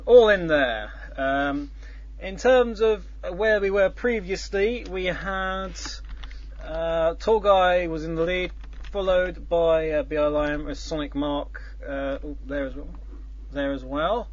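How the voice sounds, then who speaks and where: A man hosting a casual talk, his voice sitting a little high. He rambles through the explanation, full of ums and restarts and the odd tease. The recording is dull and lo-fi. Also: takes it slowly. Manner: rambling, many fillers and restarts, teasing. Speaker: host. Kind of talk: casual chat explaining a contest's scoring. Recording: dull lo-fi sound.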